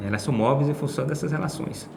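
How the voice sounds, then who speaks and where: A man's voice: on the high side, racing, vocal fry. A man speaking as a guest professor, explaining in an academic but conversational way with emphatic short phrases. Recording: echoey room with background noise.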